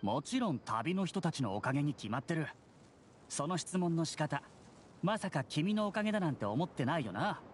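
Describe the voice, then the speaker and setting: An actor playing a fussy, articulate, condescending young engineer in an anime game. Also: male voice, a little high, big pitch swings, moderate pace, a little hiss or hum. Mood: sarcastic, irritated. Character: fussy, sarcastic young bespectacled engineer brother.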